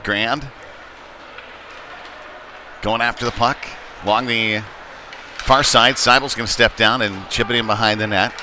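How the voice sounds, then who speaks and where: Man calling hockey over clear rink noise. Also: medium pitch, steady tone. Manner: halting, folksy, conversational. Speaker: play-by-play commentator. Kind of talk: live youth hockey commentary. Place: indoor ice rink during a game. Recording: noisy arena, clear rink ambience behind.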